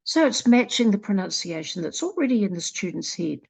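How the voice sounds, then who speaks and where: A woman with a New Zealand accent presenting a literacy program in a slow, deliberate walkthrough, sounding out letters one at a time. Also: mid-range voice, very animated, gravelly, a little mellow, breathy edge. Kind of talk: screen-recorded software walkthrough.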